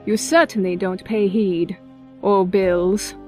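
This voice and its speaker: A woman's voice: mid-range voice, fairly even intonation, takes it slowly, darkish tone, soft background music, natural voice. A woman playing a smug, refined elder-sister pony, a powerful government adviser, formal and composed in an audio drama. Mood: smug teasing. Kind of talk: scripted audio drama acting.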